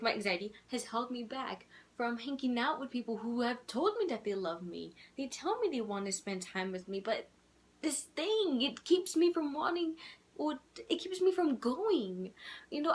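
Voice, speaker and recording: A young woman with a childish-sounding voice, talking candidly and rambling in a casual video letter to her twin, laughing softly at herself. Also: on the high side. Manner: candid, rambling, self-deprecating with frequent soft laughs. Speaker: vlogger.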